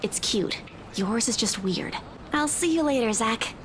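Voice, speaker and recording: A woman's voice: on the high side, animated, unhurried, noisy background. A woman voicing a caring but guarded young woman in a visual novel game. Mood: teasing. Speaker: video game character.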